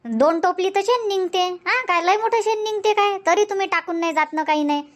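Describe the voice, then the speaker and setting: A woman voicing a chatty, sharp-tongued village housewife in a dubbed cartoon comedy, scolding and complaining. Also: very high-pitched, fairly quick.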